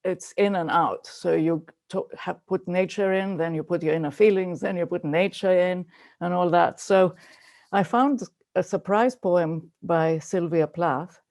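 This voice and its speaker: A woman talking casually and thoughtfully on a video call, explaining her choices in short, halting phrases. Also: lowish voice, a little mellow. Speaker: poetry reader.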